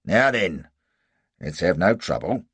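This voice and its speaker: A man's voice: low-pitched, big pitch swings, slow, a bit bright, husky, no echo, full character voice. A man voicing a bluff, loyal British army sergeant with a working-class English accent, hearty and blunt but deferential to officers, in an audiobook story. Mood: firm, hearty.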